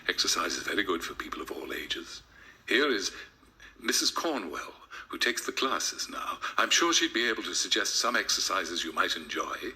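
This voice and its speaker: Man as older woman, deep voice, friendly, measured, comic storybook character. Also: expressive, pushed and bright, heavily acted.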